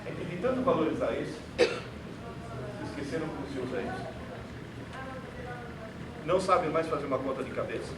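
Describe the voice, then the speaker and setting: A man's voice: on the high side, fairly quick, long pauses, crowd noise. A man who learned Portuguese as a second language gives a theatrical, sarcastic lecture to an audience in an auditorium. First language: not Portuguese. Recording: echoey hall, distant mic, noisy.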